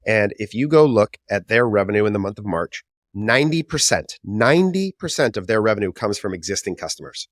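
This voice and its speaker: Male podcast host, lowish voice, dry close mic, conversational and riffing. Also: lively intonation, a little fast, no echo. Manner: conversational, riffing on ideas before asking. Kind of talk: business podcast interview.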